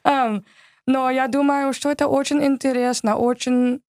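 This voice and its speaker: A woman's voice: high-pitched, fairly even intonation, a little slow, darkish tone, no echo, clean studio sound. An American woman with English as her first language, answering interview questions in careful learner's Russian and in fluent English. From United States. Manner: speaks careful learner's Russian, laughs easily. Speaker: guest.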